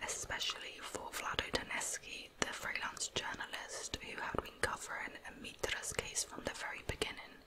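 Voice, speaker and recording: A woman narrates true crime as ASMR, whispering throughout. Her voice is breathy and unvoiced, never rising into normal speech. She tells the story in a hushed, measured way. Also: poor audio.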